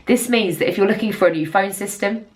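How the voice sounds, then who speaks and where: A woman's voice: mid-range voice, fast talker. A woman consultant presenting a semi-scripted review in an earnest, emphatic way. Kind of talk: semi-scripted explainer to camera.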